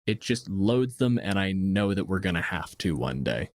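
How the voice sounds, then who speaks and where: Male podcast co-host, fairly low voice, close dry sound, blunt and emphatic casual talk. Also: big pitch swings, normal speed, dry recording. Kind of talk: casual opinionated tabletop gaming chat.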